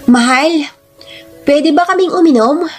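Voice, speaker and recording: A woman's voice: sits high, a little slow, faint music behind, pitched above the performer's own voice. A woman voicing an affectionate, easygoing husband who coaxes and sweet-talks in a story drama. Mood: wheedling, affectionate. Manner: coaxing, sweet-talking.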